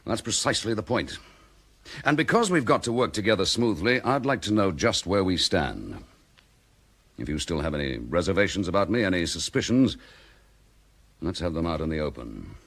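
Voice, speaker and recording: A man's voice: low voice, big pitch swings, normal speed, fairly bright tone, slightly rough, full character voice. A man playing a dogged, blunt chief inspector in a vintage radio drama. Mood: insistent.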